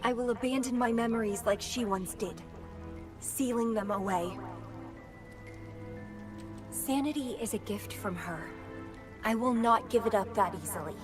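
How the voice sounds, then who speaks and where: A proud, tormented young woman warrior, played by an actress in a dramatic game scene. Her voice is fairly high and her delivery slow, deliberate and intense. Music plays clearly behind her. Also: lightly acted. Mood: intense resolve. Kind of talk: dramatic video game acting.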